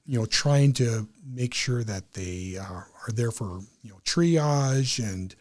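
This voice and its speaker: A man's voice: medium pitch, animated, takes it slowly, darkish tone. Man explaining things in an interview. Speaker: amateur radio club member, interview guest. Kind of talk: casual interview talk.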